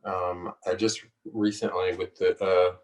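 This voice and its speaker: Laid-back low-voiced man on a video call. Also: level tone, unhurried, echoey room, distant mic, low-quality recording. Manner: hesitant, laid-back, laughs often. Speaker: singer-songwriter guest. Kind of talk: relaxed conversational interview.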